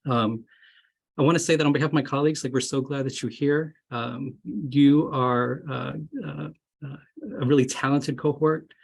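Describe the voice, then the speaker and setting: A man's voice: medium pitch, fairly even intonation, normal speed, gravelly, husky. A man in his thirties, an associate dean, talking casually to incoming students over a video call, friendly and rambling with many fillers. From grew up moving around, largely Florida. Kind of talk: casual webinar talk. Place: home or office, indoors.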